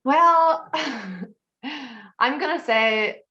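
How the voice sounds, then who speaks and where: A woman's voice: sits high, expressive, extremely slow, sharp tone, dull audio. An Irish woman, a brand ambassador, presenting a tasting masterclass over a video call in a chatty, light-hearted way. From Ireland.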